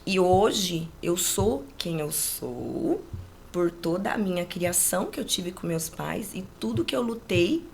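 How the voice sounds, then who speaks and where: A woman's voice: lowish voice, sing-song, takes it slowly, vocal fry, a little mellow. Interview guest, Brazilian woman in her late forties, frying voice, conversational storyteller, faint noise behind. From rural Brazil. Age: late 40s. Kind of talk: casual personal storytelling.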